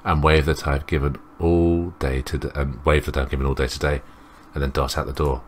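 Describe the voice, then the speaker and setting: A man's voice: a bass voice, level tone, a little fast, slight background noise. A man reading a visual novel aloud as a let's play host, rambling and interrupting himself with commentary.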